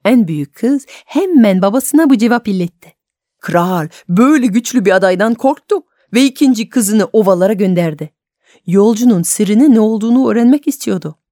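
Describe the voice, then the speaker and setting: Mellow, animated woman storyteller. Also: medium pitch, normal speed, no echo, clean studio sound. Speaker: storyteller on a radio show for adults. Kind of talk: expressive folk tale narration.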